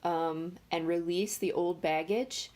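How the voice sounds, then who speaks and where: A woman giving a casual tarot reading, talking in a nasal, congested voice with many pauses and fillers. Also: on the low side, fairly even intonation, darkish tone. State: congested from allergies. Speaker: tarot reader.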